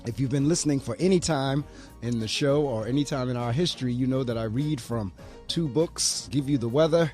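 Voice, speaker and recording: A man's voice: mid-range voice, fairly quick, darkish tone, faint music behind. A man hosting a morning radio show and reading the weather, with a slightly froggy voice, in an upbeat talkative way. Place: small college radio studio. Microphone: studio mic.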